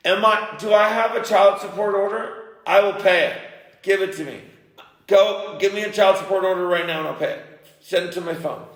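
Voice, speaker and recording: A man's voice: sits high, steady tone, moderate pace, reverberant. A man in a heated personal phone argument, speaking in a confrontational, insistent way and often repeating himself. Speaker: parent on a phone call.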